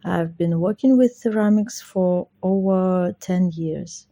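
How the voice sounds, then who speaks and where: A woman, a ceramic artist speaking slowly and carefully about her work, with hesitant fillers. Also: on the low side, mellow. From Russia. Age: 40s.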